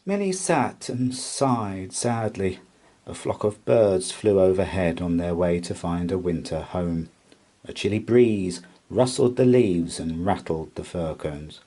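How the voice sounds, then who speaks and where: A man narrating a children's bedtime story aloud in an expressive read-aloud style. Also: deep voice, unhurried.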